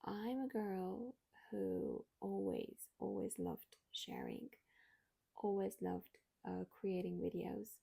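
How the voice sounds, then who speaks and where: A woman in her twenties from Iran, a native Armenian speaker, talking candidly to camera in a personal vlog, hesitant and soft, with many fillers and restarts. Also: medium pitch, big pitch swings, takes it slowly, creaky, slightly rough. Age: 20s. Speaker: vlogger.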